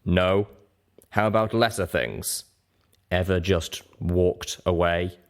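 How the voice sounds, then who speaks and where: Grim pony trader and father, male reader, deep register, slow deliberate delivery, bitter and worn down, audiobook character. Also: fairly even intonation. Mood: accusing.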